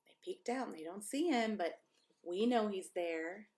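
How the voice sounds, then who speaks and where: A woman's voice: medium pitch, expressive, a little slow, darkish tone. A woman pastor reading a children's story aloud expressively, with chatty asides to young listeners.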